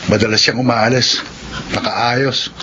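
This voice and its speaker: An actor playing an earnest, respectful teenage son and student in a Filipino radio drama. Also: male voice, medium pitch, fairly even intonation, measured pace, close to an everyday voice. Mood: friendly, sincere. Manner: respectful, sincere. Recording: muffled radio drama, clear noise.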